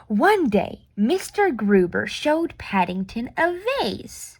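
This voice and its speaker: Woman, children's read-aloud, unhurried pace, expressive storyteller, playful, close dry sound. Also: fairly high pitch, husky, no room sound. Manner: animated, deliberate, with playful reactions. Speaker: storyteller reading a picture book aloud.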